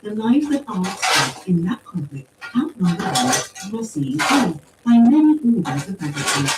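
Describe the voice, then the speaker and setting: A woman voicing a calm, formal machine intelligence in an audiobook story, with precise, measured phrasing. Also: medium pitch, voice pushed up, lightly acted. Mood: coolly reasoning. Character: calm, coolly logical machine mind speaking through empty suits. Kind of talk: acted audiobook dialogue. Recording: roomy, distant pickup with faint noise.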